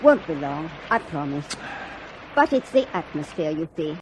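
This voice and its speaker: A woman's voice: low-pitched, big pitch swings, normal speed, slight background noise, poor audio. An actress playing a polite, persistent woman radio reporter in a radio drama. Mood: polite, insistent.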